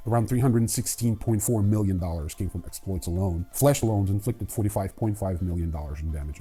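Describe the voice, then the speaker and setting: A man's voice: deep voice, warm tone, husky. A man hosting a news show, giving sardonic, irreverent commentary with casual asides. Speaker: host of a crypto news show.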